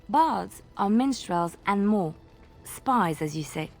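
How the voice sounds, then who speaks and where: A woman playing a guarded, wistful minstrel in a video game, with a gentle storytelling manner. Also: medium pitch, animated, slow pace, soft background music. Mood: guarded, explanatory. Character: wistful, guarded young woman minstrel, former spy turned devout. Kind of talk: video game dialogue acting.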